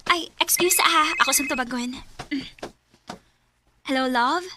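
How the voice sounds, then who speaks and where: A woman's voice: high voice, measured pace, pushed and bright, slight background noise. A woman playing a friendly, chatty young woman who brings her car in for repair. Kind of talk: amateur radio drama acting.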